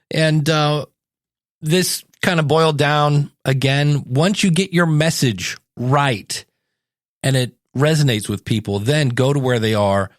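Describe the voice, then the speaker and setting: Casting: male podcast host, mid-pitched, informal and conversational, recorded close and dry. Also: no echo. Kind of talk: casual solo talk and mock interview.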